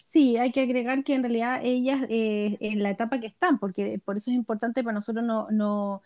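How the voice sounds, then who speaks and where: A woman in her forties with a slightly high voice co-hosts a relaxed podcast. She explains her points thoughtfully, often restarting her sentences. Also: a little fast, lo-fi sound. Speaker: podcast co-host. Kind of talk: casual podcast chat.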